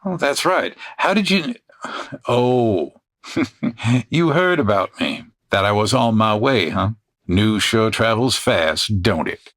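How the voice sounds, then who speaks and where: A man playing a calm, courteous stranger in an old west comedy audio drama. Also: on the low side, takes it slowly, full character voice. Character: polite, calm mysterious male stranger.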